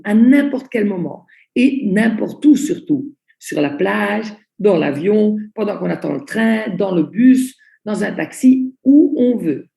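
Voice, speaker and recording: A woman leads an online training session, speaking slowly and deliberately and repeating her key points. Her voice is mellow with a gravelly edge. The video call sound is roomy and echoey. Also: medium pitch. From Belgium. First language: Italian. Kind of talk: online training presentation, spoken slowly for interpreters.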